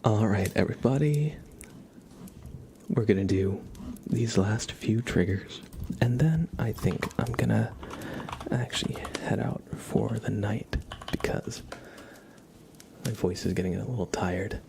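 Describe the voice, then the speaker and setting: A man hosting a casual ASMR livestream, chatting and reading cards in a rambling, soft-spoken way that drifts into whispering. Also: fairly low pitch, unhurried, pauses often. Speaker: livestream host. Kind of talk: casual live ASMR chat with tarot card readings. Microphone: headset. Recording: clear background noise.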